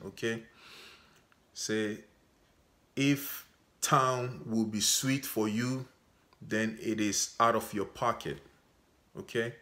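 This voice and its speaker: A Ghanaian man talking to his viewers in a measured way, often stopping to ask "okay?". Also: lowish voice, fairly bright tone. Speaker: vlogger. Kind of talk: unscripted talk to camera.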